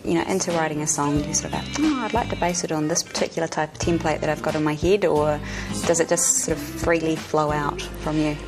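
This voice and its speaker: A woman's voice: deep voice, fairly quick, few pauses, over music. A woman hosting a TV interview in an upbeat, chatty presenter manner. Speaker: TV host. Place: TV studio couch.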